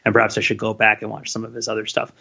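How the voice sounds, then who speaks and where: A man's voice: on the low side, monotone, rapid-fire, gravelly, sharp tone, breathy edge, dull audio. A man co-hosting a podcast, talking through ideas in a thoughtful, casual way with many fillers and restarts. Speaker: podcast co-host. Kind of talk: casual analytical chat.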